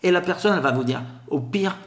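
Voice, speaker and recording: A man's voice: high voice, fast, reverberant. Sing-song man with a Grenoble accent. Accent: Grenoble French. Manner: lively, sing-song delivery. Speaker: guest, telemarketing expert. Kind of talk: podcast interview.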